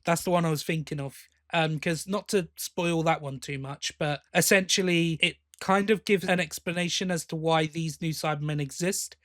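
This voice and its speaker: A man's voice: a little high, fairly even intonation, normal speed, few pauses, a bit bright, no echo, clean studio sound. A man co-hosting a podcast, talking in a casual, chatty and enthusiastic way. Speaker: podcast co-host.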